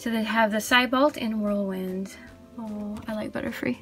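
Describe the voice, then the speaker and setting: A woman's voice: medium pitch, fairly even intonation, moderate pace, a bit bright. A young adult woman casually hosting a toy unboxing, chatting in a chirpy, gushing way about cute things. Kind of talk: casual unboxing review chat. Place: indoors at a tabletop display. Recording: background music under voice. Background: music.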